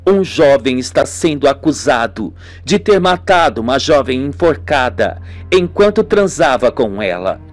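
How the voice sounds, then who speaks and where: A man's voice: mid-range voice, very animated, unhurried. Guy doing a serious lady detective in a radio soap, direct and curious, music behind. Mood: grave. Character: serious female police investigator, inquisitive and direct. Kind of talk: amateur radio soap acting.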